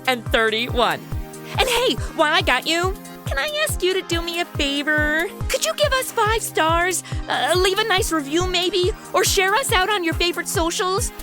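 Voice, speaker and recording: Brash, teasing young comedy heroine, high-pitched, swinging intonation, exclamatory. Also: female voice, big pitch swings, moderate pace, music in the background. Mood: friendly, upbeat. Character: brash, excitable, wisecracking young woman. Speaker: show's lead and host. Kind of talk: comedy audio drama acting and narration.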